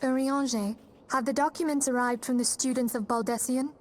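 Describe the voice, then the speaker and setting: An actress plays a fantasy game's leader of a secret order, a woman with a fairly high voice. She speaks formally and courteously, in measured phrases, with composed authority. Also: fairly even intonation, lightly acted. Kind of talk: video game cutscene acting.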